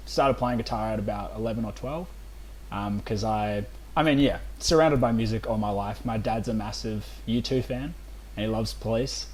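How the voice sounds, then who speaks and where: A man's voice: medium pitch, a little mellow, low-quality recording. A man in his twenties with an Australian accent, talking in an informal, conversational way as an interview guest. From Melbourne, Australia. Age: 20s. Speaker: band vocalist, podcast guest. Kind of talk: casual chat.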